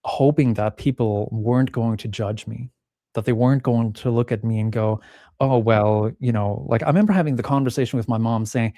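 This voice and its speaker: A middle-aged man from the Czech Republic talks as an interview guest in a mellow, warm voice, recorded dry and close. He speaks thoughtfully, often restarting phrases, and acts out remembered lines of dialogue. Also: mid-range voice, fairly quick, no room sound. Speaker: pianist and podcast guest. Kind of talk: reflective interview talk.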